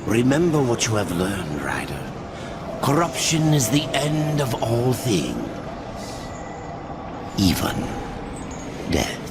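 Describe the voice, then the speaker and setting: An actor playing an ominous, ancient crow-like keeper of secrets in a video game, speaking in a solemn, portentous way. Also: male voice, huge pitch swings, takes it slowly, long pauses, heavily acted. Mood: grave warning. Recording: loud rumbling background noise.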